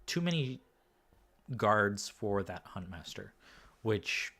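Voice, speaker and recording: A slightly hoarse man commentating a card game match on his own, casual and thinking aloud, with frequent fillers and restarts. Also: on the low side, sing-song, takes it slowly, bright, forceful tone. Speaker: game commentator and podcast host.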